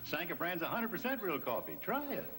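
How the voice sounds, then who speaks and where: An American actor playing a reassuring coffee pitchman in a 1980s commercial. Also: very animated, a little fast, fairly bright tone, slight background noise. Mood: reassuring, amused.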